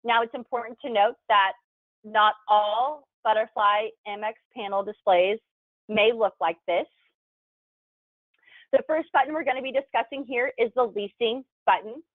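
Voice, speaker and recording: A woman with a slightly high voice presents a tutorial, walking through instructions one step at a time. The recording is dry and narrow, with a thin, telephone-like sound. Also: no room sound. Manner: clear step-by-step explaining. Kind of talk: instructional video narration. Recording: thin phone-like band-limited sound, dry.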